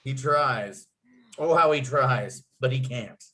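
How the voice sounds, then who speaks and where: A man's voice: mid-range voice, slow pace, fairly bright tone. Man narrating a comedy script. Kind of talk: scripted narration, reading screenplay action and scene headings.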